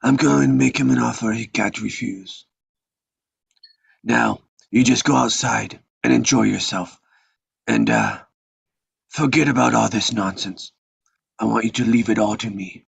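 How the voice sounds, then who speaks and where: Man acting a gravelly, stern old mafia don. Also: mid-range voice, a little slow, pauses often, no room sound, exaggerated voice. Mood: calm, commanding. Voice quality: gravelly, husky. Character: stern, commanding old male mafia patriarch. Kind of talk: live table read of a film script.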